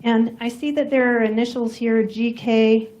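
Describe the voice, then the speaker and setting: A soft-spoken woman prosecutor questioning a witness in a courtroom, measured and methodical. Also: a little high, little pitch movement, lo-fi sound. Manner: measured, formal, methodical questions with some fillers.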